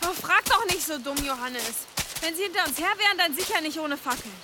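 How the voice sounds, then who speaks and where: Young woman guide, radio drama, high-pitched, curt, scornful, resentful, noticeable ambient noise. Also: lively intonation, brisk. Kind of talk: German radio drama acting.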